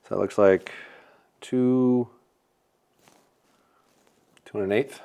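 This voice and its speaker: A man's voice: lowish voice, fairly even intonation, slow pace, gravelly, smooth. A man reviewing a bow at a shop, casually talking through each measurement as he checks it. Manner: upbeat, talks through measurements as he works; says he has a loud voice. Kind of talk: casual hands-on product testing.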